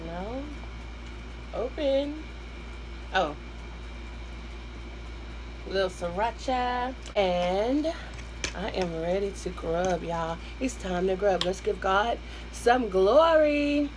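A hoarse woman in her thirties with a Southern US accent, hosting an eating show from a small room at home, chatting to her viewers and humming between bites. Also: medium pitch, expressive, drawn-out words, long pauses, noisy background. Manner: chatty, addresses viewers as y'all, hums while eating. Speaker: mukbang host. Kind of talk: casual eating-show chat.

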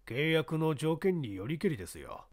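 抜け目なく意志の強い野球スカウトを、真剣な口調で演じる男性。. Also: male voice, a little high, very animated, moderate pace, no echo, studio quality. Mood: determined. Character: shrewd, determined male baseball scout.

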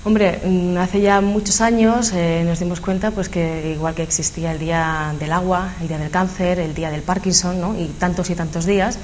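Brief: Spanish-accented woman, slightly low voice, studio interview guest, animated explainer, faint hiss. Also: moderate pace, hardly any pauses. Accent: Spanish from Spain. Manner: animated explainer with frequent fillers. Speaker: speech therapist guest. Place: local TV studio.

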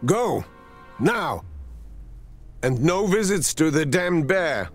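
A man playing a stern, cautious middle-aged father in a video game, gravelly and husky, grave and commanding. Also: fairly high pitch, sing-song, slow, soft background music, exaggerated voice. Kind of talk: cinematic video game acting.

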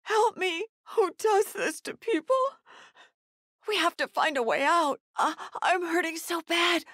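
A woman's voice: very high voice, unhurried, a bit bright, dry recording, clean studio sound. A woman playing a frightened, desperate mother in a survival horror video game, with pleading, gasping delivery. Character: desperate, frightened mother searching for her missing son.

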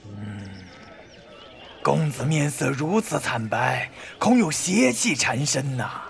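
Male voice actor as a gravelly, slow-talking Taoist peddler-priest, sly and lively. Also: mid-range voice, faint music behind, full character voice. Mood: coaxing. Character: wandering middle-aged male Taoist fortune-teller, shrewd. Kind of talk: Chinese audio drama acting.

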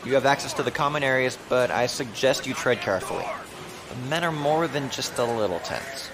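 An actor playing a dutiful imperial space officer in a science fiction audio drama, formal and military in manner. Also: male voice, mid-range voice, a little fast, natural voice. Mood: brisk authority. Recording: clear background noise and ambience.

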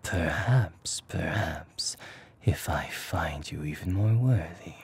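A man performs a possessive demon lord in a whispered ASMR roleplay. The voice is low and very breathy, drawn out slowly, haughty and seductive with an edge of menace. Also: expressive, exaggerated voice. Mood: proud, seductive. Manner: slow, deliberate, haughty.

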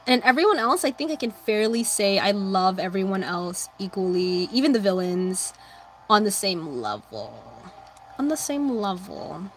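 A young woman chatting casually with her viewers while drawing, answering chat and humming along, her voice slightly hoarse. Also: mid-range voice, expressive, normal speed, slight background noise. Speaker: livestreamer drawing anime fan art.

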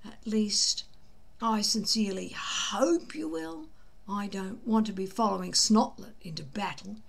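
A woman voicing an excitable, anxious and loyal young Viking boy in a storybook, with earnest emphasis. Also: mid-range voice, measured pace, gravelly. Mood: earnest, encouraging.

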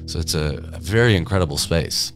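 A man talking casually in an interview, telling stories in an animated conversational way. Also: deep voice, fairly quick. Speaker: recording studio staff member, interviewee. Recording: guitar music playing behind. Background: guitar music.

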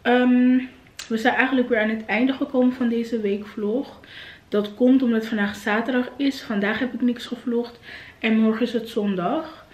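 A woman's voice: a little high, a little mellow, reverberant. A woman in her early twenties chatting casually to camera in an informal vlog, mixing in English slang. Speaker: vlogger. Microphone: camera at arm's length.

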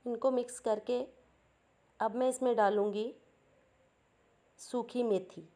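Mellow-voiced woman explaining a recipe. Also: on the high side, fairly even intonation, slow pace. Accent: Pakistani Urdu. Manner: patient, explains each step plainly. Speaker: cooking channel host. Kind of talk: step-by-step cooking instructions.

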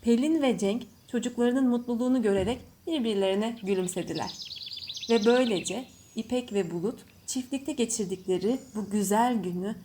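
High-voiced woman narrating a children's story. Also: moderate pace, dark tone. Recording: faint background noise with birdsong. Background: birds chirping.

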